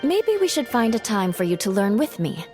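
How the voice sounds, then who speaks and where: A woman voicing a confident, proud young noblewoman in a video game, composed, measured and self-assured. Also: medium pitch, very animated, music in the background, close to an everyday voice. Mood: reassuring. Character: confident young noblewoman dancer, proud, sharp-tongued yet kind.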